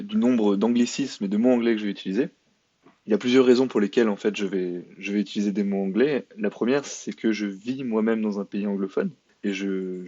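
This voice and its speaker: French guy, lowish voice, sarcastic and halting, lots of euh, dry dull mic. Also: warm tone, husky, dry recording. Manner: sarcastic, hesitant with many fillers and restarts. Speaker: video commentator. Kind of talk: monologue rebuttal commentary. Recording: dry, slightly dull lo-fi sound.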